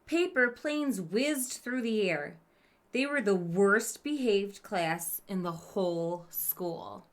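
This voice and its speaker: A woman teacher reading a storybook aloud to children, expressive, with chatty asides to her listeners. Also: mid-range voice, slow pace. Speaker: elementary school teacher.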